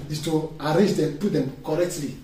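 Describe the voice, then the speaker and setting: A man preaches a sermon, high in pitch for a man and emphatic, often pausing to draw answers from his listeners. His voice reaches the mic from some distance and rings around an echoey church hall. A faint hum of noise runs under it. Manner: emphatic preaching with call-and-response questions. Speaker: pastor.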